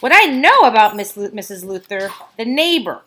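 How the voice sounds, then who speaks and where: A woman reading a children's story aloud like a teacher, with expressive emphasis on key words and casual asides. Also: on the high side, moderate pace, a little hiss or hum.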